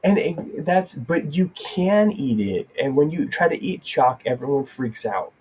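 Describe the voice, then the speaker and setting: A man's voice: on the high side, animated, unhurried, darkish tone, slightly rough, poor audio. A man co-hosting a podcast in casual chat, rambling with frequent restarts and laughter. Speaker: podcast co-host.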